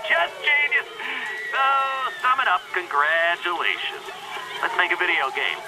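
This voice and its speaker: An actor playing an excitable male video game executive, talking in an emphatic, theatrical way. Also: high-pitched, a little slow, very noisy. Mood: jovial. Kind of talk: video game cutscene acting. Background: music.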